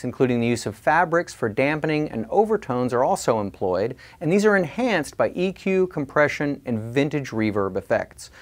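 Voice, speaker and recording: A presenter delivering a scripted, explanatory product demo in a measured way. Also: mid-range voice.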